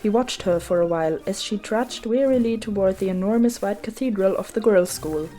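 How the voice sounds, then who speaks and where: A woman's voice: mid-range voice, brisk, dark tone. A woman reading a children's story as its narrator, in a scripted storybook style. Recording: music behind the voice.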